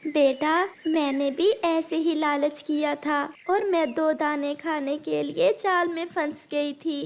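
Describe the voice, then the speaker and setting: Very high voice, slow, woman acting as a tender sorrowful mother bird, cartoon, imploring, faint noise. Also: steady tone. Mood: earnest. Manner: earnest, imploring, emotive. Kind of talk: Hindi children's cartoon voice acting.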